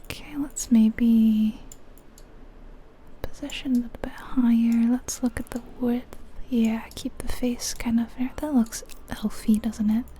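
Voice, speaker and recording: Woman, hushed ASMR whisper, very mellow, unhurried pace, gentle tentative musing, game commentary. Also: on the high side, fairly even intonation, a little hiss or hum. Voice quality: soft, breathy whisper. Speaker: ASMR video game creator, host.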